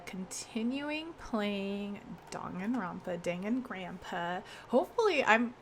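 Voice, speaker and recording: Woman, young adult, game streamer, congested, sore throat, chatty, laughs a lot, gravelly voice, faint background noise. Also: on the high side. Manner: chatty, laughs often, greets chat by name. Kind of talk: casual game stream chat.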